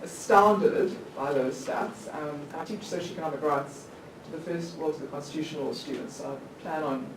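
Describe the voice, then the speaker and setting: A woman's voice: low voice, moderate pace, few pauses. A woman in her thirties, a law lecturer, asking the panel a long question from the floor, chatty, with many restarts and little laughs at herself. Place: conference hall. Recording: echoey hall, distant mic, faint noise.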